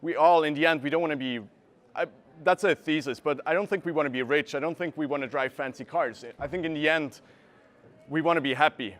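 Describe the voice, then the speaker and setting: A young man from Switzerland, giving an earnest presentation and often pausing to search for words, recorded from a camera across a room. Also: a little high, a bit bright. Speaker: speaker. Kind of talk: presentation talk. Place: gallery room with a seated audience.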